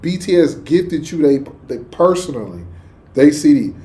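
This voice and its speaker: A man gives casual reaction commentary in a clearly high voice for a man. He talks in slang and leans hard on his points. He often circles back and repeats his phrases. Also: big pitch swings, moderate pace, warm tone. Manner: slangy, emphatic, repeats himself. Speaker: reaction show host.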